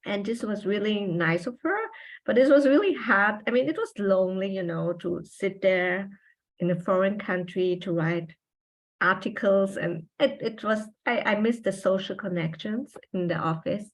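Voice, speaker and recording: A woman in her forties, a native German speaker talking in English as a podcast guest, reflective and halting with many pauses and fillers. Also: medium pitch. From Austria and Taiwan. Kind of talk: personal interview conversation.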